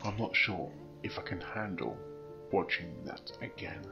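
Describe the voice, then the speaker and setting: A man's voice: deep voice, measured pace. A man voicing a troubled, grave stallion detective with a subdued, uneasy manner in a fan-made audio drama. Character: troubled male pony detective, grave and weary. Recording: dull lo-fi, music behind.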